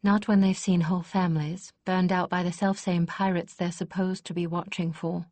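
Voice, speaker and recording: A forthright noblewoman who once served, as a female narrator reads her, firm and sharp-edged. The reading is close and dry. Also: fairly low pitch, flat delivery, moderate pace, no room sound, lightly acted.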